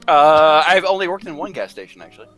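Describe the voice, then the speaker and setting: A man's voice: fairly high pitch, very animated, fast. A man joking casually in a group chat. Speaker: voice actor in a live table read. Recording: music playing behind the chat. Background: music.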